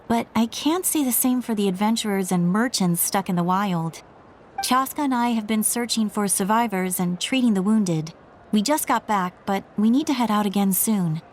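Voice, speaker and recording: A woman's voice: mid-range voice, animated, normal speed, slight background noise, close to an everyday voice. A woman playing a practical young healer in a game with a wry, matter-of-fact manner. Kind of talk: game dialogue.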